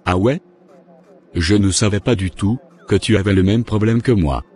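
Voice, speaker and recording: Low-voiced devout dad played by a man. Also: moderate pace, a little mellow, natural voice. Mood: earnest, fatherly. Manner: earnest, fatherly. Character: devout, encouraging father of a young son.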